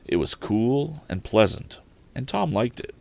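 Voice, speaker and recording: A man reading an adventure story aloud in an expressive audiobook style. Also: medium pitch, unhurried, vocal fry.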